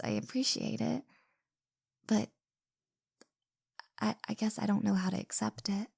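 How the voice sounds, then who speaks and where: A woman playing an anxious, self-doubting young woman in an intimate audio roleplay, speaking softly and breathily with hesitant stammers, apologies and sighs, often slipping into a whisper. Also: medium pitch, vocal fry, slightly rough, dry recording, clean studio sound, exaggerated voice. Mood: flustered, self-doubting.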